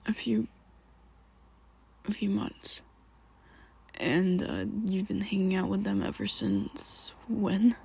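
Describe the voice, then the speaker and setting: A woman in an ASMR roleplay, talking to the listener as a worried best friend, halting and rambling, often sinking into a soft whisper close to the mic. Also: mid-range voice, very animated, gravelly, slightly rough. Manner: hesitant and rambling, halting restarts.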